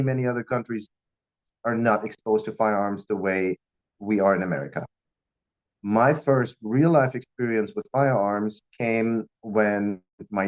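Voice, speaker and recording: A middle-aged German man speaks English with a German accent in a fairly low voice. He gives an informal, personal talk as a guest speaker. The recording is a little dull. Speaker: guest speaker, firearms instructor.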